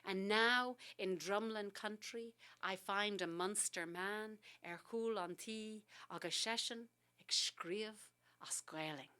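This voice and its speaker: A woman poet reading her poems aloud and explaining them, soft and halting between lines and more expressive in the verse. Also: medium pitch, clean tone. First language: English. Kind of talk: poetry reading on a talk show.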